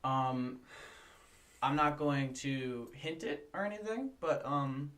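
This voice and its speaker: A man's voice: fairly high pitch, animated, slow, a bit bright, distant mic. A teenage boy co-hosting a podcast in a small studio, talking up upcoming projects with a hyped, promotional manner and many fillers. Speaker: podcast co-host. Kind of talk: casual podcast chat. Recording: voice a bit off-mic.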